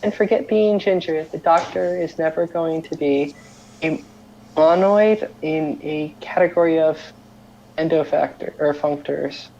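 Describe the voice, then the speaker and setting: Woman, very low, dark mellow tone, casual card reading. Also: measured pace, muffled recording, a little hiss or hum. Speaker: card game player. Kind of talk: casual chat, reading cards aloud.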